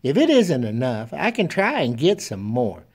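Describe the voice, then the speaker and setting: A man's voice: mid-range voice, huge pitch swings, moderate pace, darkish tone, slightly rough, exaggerated voice. A man voicing a small, earnest little girl who pleads and stammers. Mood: pleading.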